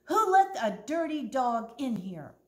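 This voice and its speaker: A woman voicing a flustered, red-faced male grocery store manager who shouts in a high, frantic voice. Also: steady tone, unhurried. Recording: roomy echo.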